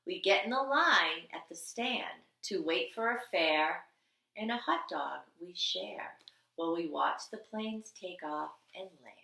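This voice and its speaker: Animated woman reading a storybook, slow pace. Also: lowish voice, gravelly, reverberant. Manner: expressive, deliberate, sing-song rhyming delivery. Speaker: teacher reading a picture book aloud.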